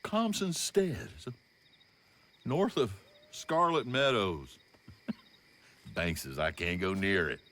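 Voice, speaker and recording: An actor playing a bitter, destitute old Southern gentleman in a video game, rambling in self-pity with an American Southern accent. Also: lowish voice, animated. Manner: slow, maudlin, rambling lament. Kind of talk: video game cutscene acting.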